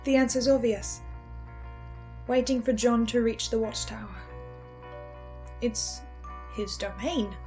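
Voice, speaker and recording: A woman's voice: fairly high pitch, slow, long pauses, darkish tone, faint music behind. A woman playing an anxious, obsessive researcher, thinking aloud in a hesitant, intense, stammering monologue. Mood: uneasy, hesitant. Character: anxious, obsessive female researcher puzzling out theories. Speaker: host playing an in-character researcher. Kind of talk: in-character analytical monologue.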